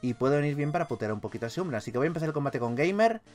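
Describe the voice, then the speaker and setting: A man with a Castilian Spanish accent giving casual, animated gameplay commentary as a video host, swearing freely. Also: fairly high pitch, racing, faint music behind. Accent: Spanish from Spain.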